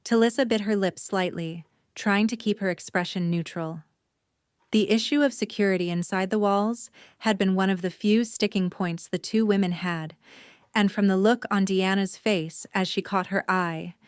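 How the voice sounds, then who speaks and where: A woman's voice: mid-range voice, fairly even intonation, normal speed, clean tone, dry recording, studio quality. A woman reading scripted story narration for an audio drama.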